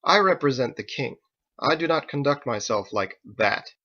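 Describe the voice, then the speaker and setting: A man's voice: mid-range voice, lively intonation, moderate pace, pushed and bright, muffled recording. A man voicing a pompous, smugly confident lawman in armor for an audiobook, with formal, haughty diction. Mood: indignant, proud.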